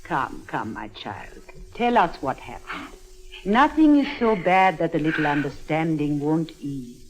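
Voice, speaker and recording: An actress playing a gentle, motherly nun in a 1950s radio drama, speaking soothingly. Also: sits low, big pitch swings, a little slow, poor audio. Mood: soothing, concerned.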